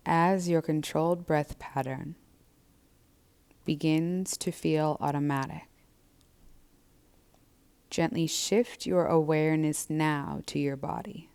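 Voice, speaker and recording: A woman leads a guided breathing and body scan practice as a yoga instructor. Her voice sits low, with a clear, smooth tone and a creaky fry. She speaks gently, in measured, soothing phrases. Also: steady tone.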